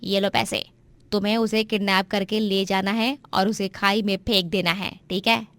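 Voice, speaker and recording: A woman's voice: medium pitch, little pitch movement, moderate pace, a bit bright, no room sound, natural voice. A woman voicing a jealous, scheming young daughter-in-law in a moral story, with a plotting, gloating manner. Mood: conspiratorial. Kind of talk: Hindi moral story voice acting.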